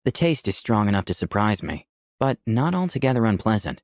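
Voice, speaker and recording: Low-voiced young visual novel protagonist. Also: male voice, big pitch swings, a little fast, a little mellow, dry recording, clean studio sound. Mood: reflective. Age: young adult. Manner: clear scripted storytelling. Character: candid, easygoing 24-year-old man, protagonist and narrator. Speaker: narrator and protagonist. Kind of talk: first-person visual novel narration and dialogue.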